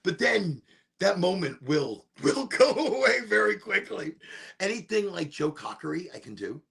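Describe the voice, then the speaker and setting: Gravelly-voiced man rambling off topic. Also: sing-song, normal speed, a bit bright. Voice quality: deep, gravelly voice. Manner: rambling, wanders off topic, breaks into off-key singing. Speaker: host of a smoke session. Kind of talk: rambling casual monologue.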